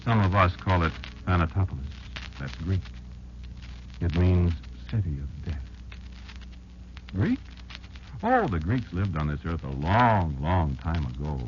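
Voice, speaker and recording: Very deep-voiced, wary old creature. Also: male voice, expressive, a little hiss or hum. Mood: bitter, wry. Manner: confiding, folksy, deliberate dramatic pauses. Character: wary old insect elder telling a child grim survival tales. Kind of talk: old-time radio drama monologue. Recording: dull lo-fi old radio recording. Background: prominent music.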